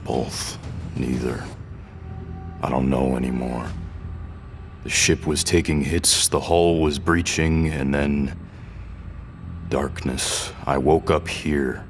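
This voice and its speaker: An actor playing a defiant, disoriented prisoner in an audio drama, a very deep-voiced man who is guarded, halting and stubborn. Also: fairly even intonation, soft background music, heavily acted. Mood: shaken, weary. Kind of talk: scripted audio drama dialogue.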